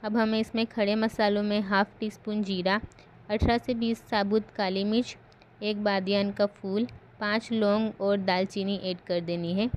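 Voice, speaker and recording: A woman host giving calm, step-by-step voice-over narration for a home cooking recipe. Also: mid-range voice, moderate pace, slight background noise.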